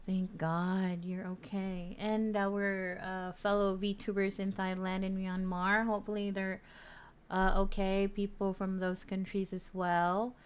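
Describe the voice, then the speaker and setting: A woman hosting a casual radio-style stream interview, chatty and quick to laugh. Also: medium pitch, little pitch movement, clear, resonant tone. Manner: chatty, laughs often, warm check-ins.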